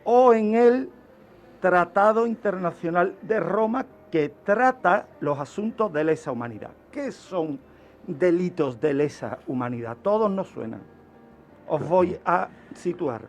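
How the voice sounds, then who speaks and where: A man's voice: high-pitched, expressive, measured pace, slight background noise. A man with an accent from Spain explaining as a guest expert on a radio show, asking questions and answering them himself. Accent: Spanish, from Spain. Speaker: criminologist guest.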